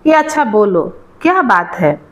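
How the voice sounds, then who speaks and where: A woman's voice: mid-range voice, big pitch swings, moderate pace, close to an everyday voice. A woman voicing a tired, exasperated village husband in a storybook tale, weary and dismissive. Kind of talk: storybook dialogue.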